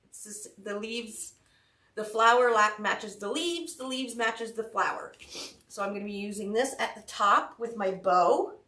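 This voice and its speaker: A woman's voice: medium pitch, a little slow, pushed and bright, far from the mic. A woman with a head cold hosting a live craft tutorial, chatty and greeting viewers by name. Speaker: craft shop host. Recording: roomy sound, mic set back.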